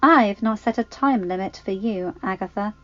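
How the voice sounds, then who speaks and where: A woman voicing a smug, menacing female villain in a storybook, gloating in a drawn-out manner. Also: mid-range voice, a bit bright, muffled recording, soft background music, lightly acted.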